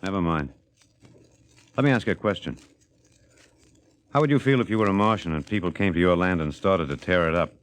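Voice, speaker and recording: An actor playing an obsessed renegade astronaut, quiet, deliberate and intense, in 1950s radio drama style. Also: male voice, low voice, close to an everyday voice. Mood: brooding. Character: brooding, obsessed renegade American astronaut turned killer.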